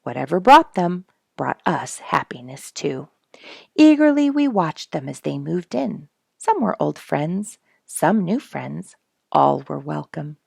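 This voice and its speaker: A woman storyteller reading a nature memoir aloud in an expressive style for a family audience, putting dramatic emphasis on words. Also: sits low, slow pace, gravelly, breathy edge, dry recording, clean studio sound.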